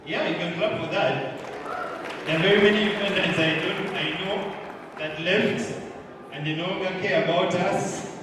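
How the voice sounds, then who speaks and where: A man's voice: high-pitched, fairly even intonation, normal speed, recorded from a distance, noisy background. A man hosting a live event, talking easily and directly to the audience. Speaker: event host. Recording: echoey hall, mic from afar, crowd.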